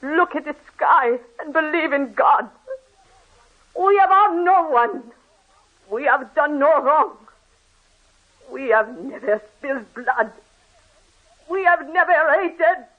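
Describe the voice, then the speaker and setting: An actor playing a devout, fearless condemned aristocrat, speaking in a solemn, declamatory manner in an old radio drama. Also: male voice, extremely high pitch, expressive, takes it slowly, long pauses, exaggerated voice. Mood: solemn, resolute. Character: devout, unafraid condemned aristocrat comforting family. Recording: dull old radio recording, faint crowd.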